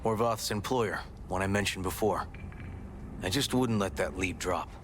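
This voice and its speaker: A man's voice: low-pitched, moderate pace, noisy background, lightly acted. An actor playing a seasoned, composed male police detective in a video game, speaking in a dry, wry, matter-of-fact way. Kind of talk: naturalistic video game acting.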